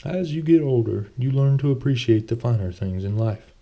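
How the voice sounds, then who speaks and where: A man's voice: medium pitch, expressive, moderate pace, mellow, muffled recording, voice pushed up. A man voicing a pious, wealthy older man in a storybook reading, earnest and persuasive. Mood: genial.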